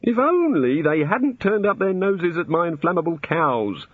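Man playing a fretful high-pitched old god. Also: big pitch swings, normal speed, husky, no echo, narrow, tinny audio. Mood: wretched, rambling. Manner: hesitant, flustered, rambling apologies. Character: fretful, apologetic, testy bearded old god, eagerly curious.

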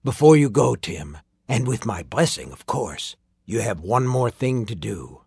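A man's voice: medium pitch, sing-song, a little slow, vocal fry, darkish tone, slightly rough, no echo, clean studio sound, exaggerated voice. A man voicing a sinister, mockingly courteous sorcerer in an audiobook story, with archaic, teasing speech that drops into whispers. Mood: coolly commanding.

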